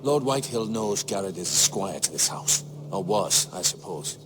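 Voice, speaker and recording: Cautious, worried noble's counsellor. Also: male voice, low voice, animated, normal speed, music in the background. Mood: concerned. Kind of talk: dramatic video game acting.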